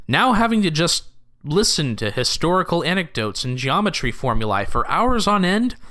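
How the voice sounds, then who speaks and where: A man's voice: sits high, sharp tone, heavily acted. A man acting as the wry narrator of an audio drama, reading scripted storytelling about a teen supernatural adventure.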